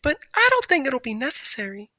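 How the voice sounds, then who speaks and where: A woman voicing a small, gentle female songbird with a soft, musical voice and prim, courteous manners. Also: sits high, big pitch swings, a little fast, no echo, voice pushed up, exaggerated voice.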